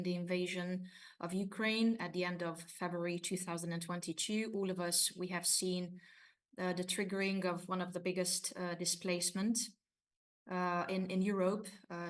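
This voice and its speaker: A woman's voice: on the low side, flat delivery, normal speed. Hungarian-speaking woman presenting over a video call. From Romania. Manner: hesitant, many fillers, formal phrasing. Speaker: conference presenter, associate professor. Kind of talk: academic conference presentation. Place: university office, construction noise nearby.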